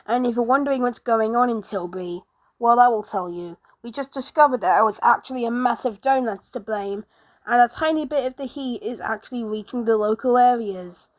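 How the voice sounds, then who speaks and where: Higher-voiced female amateur announcer, halting. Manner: halting, often restarts sentences. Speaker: narrator of an emergency alert scenario video. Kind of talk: homemade mock emergency broadcast narration.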